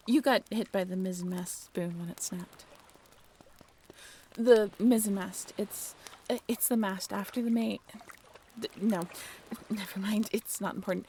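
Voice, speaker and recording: A woman playing a caring, bashfully candid half-mermaid ship crew member in an audio roleplay, speaking straight to the listener in a halting, natural, gently bossy way. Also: medium pitch, slight background noise, lightly acted. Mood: concerned, relieved. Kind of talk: audio roleplay drama spoken directly to the listener.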